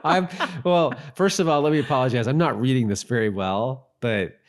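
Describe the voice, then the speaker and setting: A man co-hosting a podcast in casual chat, talking in a halting way and repeating himself as he thinks aloud. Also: mid-range voice. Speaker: podcast co-host.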